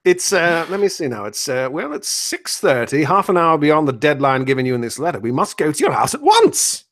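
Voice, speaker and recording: A man playing an excitable, self-assured gentleman detective in comic old-time radio style, theatrical and emphatic. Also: a little high, a little fast. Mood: urgent, exasperated.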